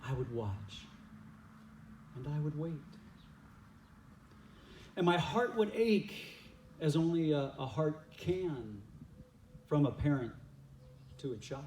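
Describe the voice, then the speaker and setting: A loving, forgiving father, acted by a man in a church skit. He speaks slowly and deliberately, with heartfelt emphasis and repeated phrases. The voice comes from a distance in an echoey room. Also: on the high side, expressive, a little mellow, a little hiss or hum. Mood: aching, steadfast. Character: loving, forgiving father longing for his lost son. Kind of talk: reader's theater church skit monologue.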